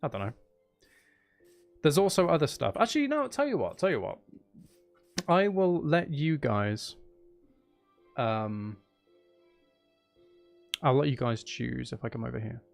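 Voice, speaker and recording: Animated, playful man streaming games. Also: medium pitch, measured pace, gravelly, pauses often, faint music behind. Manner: playful mock-dramatic, self-deprecating. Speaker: streamer hosting a live game stream. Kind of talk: casual chatty gaming livestream talk with viewers.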